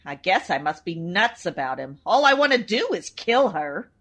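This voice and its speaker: Bright, swooping melodramatic chorus girl. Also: fairly low pitch, sing-song, normal speed. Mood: lovesick, brash. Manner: melodramatic, slangy old-time tough-dame talk. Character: brash, lovesick, superstitious young chorus girl, old gangster-moll type. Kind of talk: comedic period radio-drama acting.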